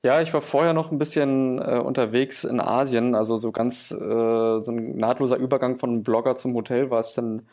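A man in his thirties from Germany, a guest on a podcast who answers in a relaxed, rambling way with frequent fillers. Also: medium pitch, normal speed, few pauses. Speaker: podcast guest, hotel owner. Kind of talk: casual interview chat.

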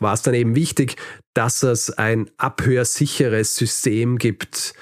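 A man's voice: medium pitch, no room sound. A man hosting a podcast, telling history in a conversational, explanatory way with light dry humour. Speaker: podcast host.

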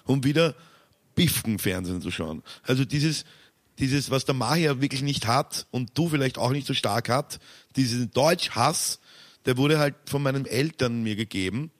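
Viennese man telling lively anecdotes. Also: medium pitch, normal speed. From Austria. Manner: animated anecdote and joke teller. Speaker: podcast host. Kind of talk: casual podcast chat.

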